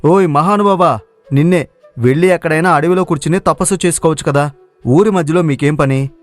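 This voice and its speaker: A man's voice: on the high side, fairly quick, natural voice. A man playing a lazy, cocky young village daydreamer, brash and impatient, in a moral story. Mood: mocking. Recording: music playing behind the voice.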